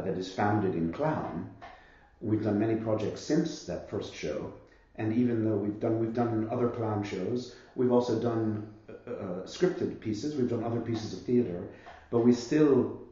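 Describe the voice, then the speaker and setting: A husky man in his fifties with a cold speaks in a low, mellow voice, weighing each thought and circling back as he goes. The sound is muffled and heavily echoey, like a stream from a bare room. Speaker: guest, actor and theatre director. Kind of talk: reflective conversation about his career.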